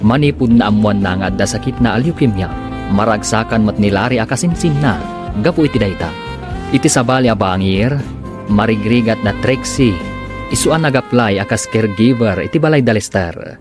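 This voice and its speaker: A man announcing a radio drama serial in a dramatic scripted style, with drawn-out emphatic phrases. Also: fairly low pitch, lively intonation, over music.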